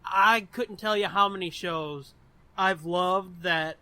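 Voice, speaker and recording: A man with a high, bright voice, recorded dry. He chats casually as a podcast co-host, informal and blunt, swearing freely as he talks pop culture. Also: slow, clean tone, no echo. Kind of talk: casual pop-culture chat, reading listener questions.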